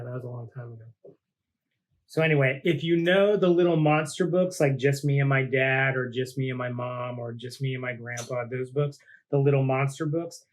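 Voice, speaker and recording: A man, a teacher, reading a children's picture book aloud in an informal, expressive way with casual asides. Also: mid-range voice, poor audio.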